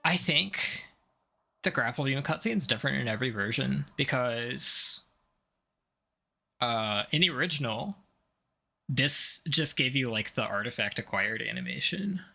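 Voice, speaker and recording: A man's voice: medium pitch, animated, unhurried, vocal fry, pauses often, sharp tone. A man giving casual gameplay commentary, thinking aloud in a hesitant, self-directed mutter with occasional mild swearing. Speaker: gamer doing a video game playthrough.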